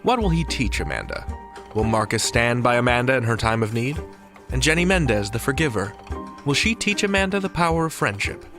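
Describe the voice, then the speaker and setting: A man hosting an audio drama podcast, reading his lines in a smooth, announcer-like way. Music plays clearly beneath him. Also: medium pitch, big pitch swings, a little fast. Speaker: podcast host. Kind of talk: scripted narration and interview.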